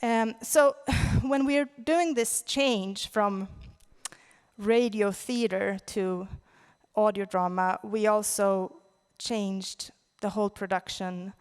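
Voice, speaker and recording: Swedish accent lady giving a conference talk, clear smooth voice, pauses for words, big echoey hall. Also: medium pitch, fairly even intonation. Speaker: conference speaker, audio drama producer. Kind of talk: conference presentation. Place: large indoor conference venue.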